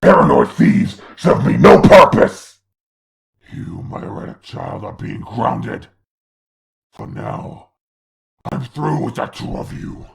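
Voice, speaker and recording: Demon overlord, very gravelly, wildly dynamic, imperious, scolding, theatrical comic dub. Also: male voice, medium pitch, wildly swooping pitch, slow, heavily acted. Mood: coldly dismissive. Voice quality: gravelly, growling. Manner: pompous, scolding, theatrical delivery. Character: raging demon overlord of hell, imperious and menacing. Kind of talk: comic dub villain acting.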